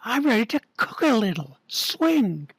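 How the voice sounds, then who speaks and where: Husky man playing an earnest, pleading man. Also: very high voice, big pitch swings, measured pace, dry recording, full character voice. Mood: pleading, indignant. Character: Tandy, earnest desperate man pleading for his life.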